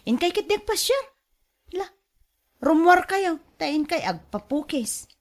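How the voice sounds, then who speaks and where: A woman with an Ilocano accent playing an excitable, fretful middle-aged mother in a radio drama, expressive and exclamatory. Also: very high-pitched, a little slow, heavily acted. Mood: bossy, teasing. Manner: expressive, exclamatory, laughs easily.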